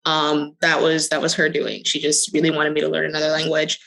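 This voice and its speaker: A middle-aged woman answering interview questions conversationally, telling her story in short phrases with hesitant pauses. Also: low voice, completely flat delivery, gravelly, fairly bright tone, poor audio. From the Bahamas. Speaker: interview guest, crypto company executive.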